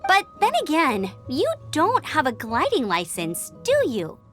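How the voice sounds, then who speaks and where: An actress playing an eager, bubbly young female knight scout who chats upbeat and explains rules briskly, with soft game music behind. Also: high-pitched, huge pitch swings, heavily acted. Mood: cheerful. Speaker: game character. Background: faint game music.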